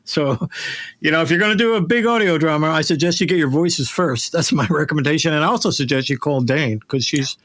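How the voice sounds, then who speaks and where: A middle-aged man hosting a podcast conversationally, halting and emphatic, often repeating phrases to drive them home. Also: fairly high pitch, fairly bright tone, dry recording. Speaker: podcast host.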